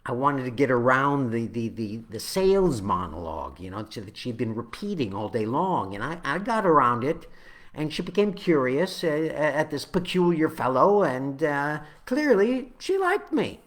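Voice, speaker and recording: A man reading his own spoken-word poem, with halting, deliberate phrasing that moves between dramatic swells and hushed drops. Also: medium pitch, very animated.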